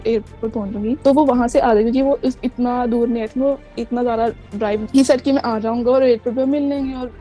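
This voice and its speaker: A woman's voice: fairly high pitch, fairly even intonation, fast, few pauses, dark tone. A woman caller giving a casual, aggrieved personal account on a recorded call, often slipping into English phrases. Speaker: caller telling her story. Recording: recorded call with music behind. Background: music.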